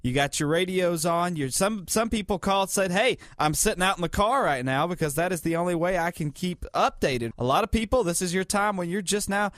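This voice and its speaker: A man's voice: sits high, lively intonation, fairly quick, hardly any pauses, a bit bright, dry recording. A man with an American accent talking on air as a radio host in a polished, conversational way.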